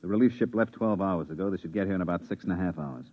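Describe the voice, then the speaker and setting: Weary, sardonic spaceman, low-pitched, vintage radio drama, muffled and echoey. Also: very fast. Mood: terse, weary. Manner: dry, sardonic grumbling. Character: weary, bitter, sardonic spaceman stationed on the moon. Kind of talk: 1950s radio drama acting. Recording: dull, echoey 1950s radio recording.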